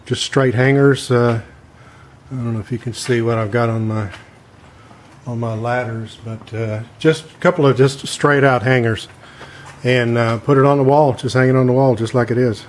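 A middle-aged man talks through a homemade project in an ordinary, mid-pitched voice, plain-spoken and loose, with plenty of ums and uhs. He is picked up by a camera microphone across a home garage. A faint background hiss sits under his voice. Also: fairly even intonation, moderate pace. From Texas. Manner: rambling, plain-spoken, many fillers. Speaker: DIY maker. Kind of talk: casual how-to demonstration. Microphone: camera mic from a distance.

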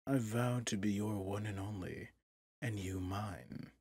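An actor playing a possessive, manipulative villain prince in an ASMR roleplay drama, speaking softly in measured, courtly phrases with a breathy, husky voice. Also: male voice, on the low side, fairly even intonation, slight background noise. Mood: possessive, coaxing.

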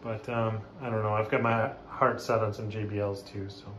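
A middle-aged American man talking casually and haltingly, unscripted, like a hobbyist hosting a vlog in a small room. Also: on the low side, little pitch movement, a bit bright. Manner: rambling, informal, halting. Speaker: video host, hobbyist speaker restorer. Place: small bathroom indoors. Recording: echoey small room, background noise.

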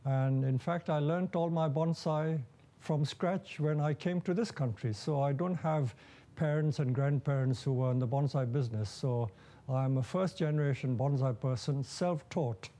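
A man in his seventies from India talks through his story in a calm, explanatory way, pausing now and then with small fillers. His voice sits a little high for a man yet has a mellow, unforced tone. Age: 70s. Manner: measured and explanatory, with occasional fillers. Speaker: bonsai nursery owner. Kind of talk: documentary interview, recounting his life. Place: large glass greenhouse full of plants.

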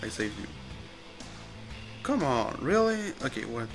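A man gives casual let's-play commentary, halting and thinking aloud as he plays. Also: mid-range voice, sing-song, faint music behind. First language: Spanish. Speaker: gamer commentating his play.

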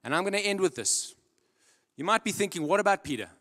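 Young male preacher, handheld mic, slightly high voice, dramatic swings from loud to hushed, long hall reverb. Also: lively intonation, fast. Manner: dramatic, builds to loud emphatic peaks then drops low. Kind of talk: sermon. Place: large church stage.

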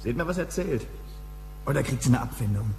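A man's voice: mid-range voice, expressive, quick pace, creaky, a little mellow, slight background noise. Young male boyfriend role: surly, defensive, slangy, mid-range voice, crime radio drama. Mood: offhand, wary.

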